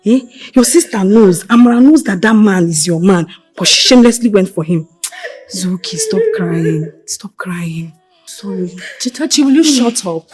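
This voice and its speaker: A woman with a husky voice and a Nigerian accent, playing an outspoken, loyal attendant to a princess in a screen drama. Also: mid-range voice, sing-song, moderate pace, a little mellow, soft background music, natural voice, rough recording. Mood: reproachful, then soothing. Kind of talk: emotive screen drama acting.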